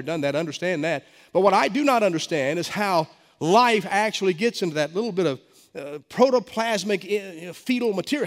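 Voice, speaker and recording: A middle-aged male pastor with a head cold, preaching a sermon with emphatic stresses and stammered restarts. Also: high-pitched. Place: church sanctuary. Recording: long hall reverb.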